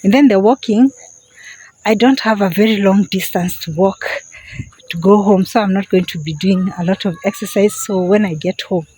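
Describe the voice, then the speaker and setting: Husky Kenyan woman vlogging outdoors. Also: medium pitch, moderate pace, no room sound, poor audio. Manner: chatty, warm greetings, repeats words for emphasis. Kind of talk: casual vlog to camera while walking. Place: outdoors, walking in a quiet semi-rural area. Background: birds and crickets.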